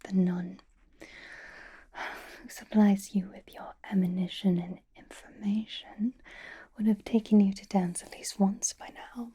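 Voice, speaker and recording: A woman voicing an elegant, flirtatious vampire in an ASMR roleplay, coaxing intimately with fond exasperation in a breathy, halting whisper. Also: medium pitch, fairly even intonation, dark tone. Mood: sly, musing. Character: elegant female vampire, flirtatious, patient, fondly exasperated gala companion.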